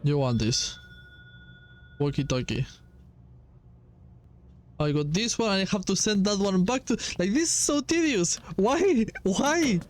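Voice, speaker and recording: A man's voice: sits high, very animated, a little slow, long pauses, a little hiss or hum. A man who is a native Spanish speaker, giving casual gameplay commentary as he plays, talking to himself with some exasperation and swearing. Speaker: gaming streamer playing a horror game demo.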